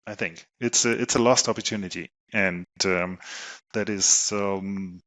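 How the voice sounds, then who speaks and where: A middle-aged man with a German accent, a guest on an interview podcast, answering with many pauses and fillers. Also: deep voice, steady tone, fairly bright tone. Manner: hesitant, many ums and you knows. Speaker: guest, solar company technology chief.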